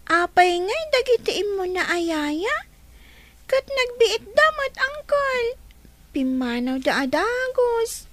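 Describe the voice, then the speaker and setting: Brief: actress as a very high, slow-talking niece who keeps pleading. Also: female voice, big pitch swings, fairly bright tone, full character voice. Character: young woman pleading with her uncle. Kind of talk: radio drama acting.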